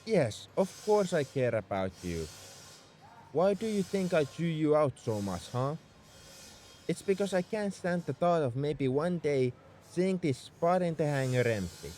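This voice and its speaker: A man's voice: on the high side, very animated, measured pace, a little mellow. A man playing a young tsundere spaceship mech engineer in an audio roleplay, defensive about his feelings as he speaks straight to the listener. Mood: upset, caring. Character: gruff-caring tsundere male mech engineer, young adult. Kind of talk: ASMR roleplay acting, addressed to the listener.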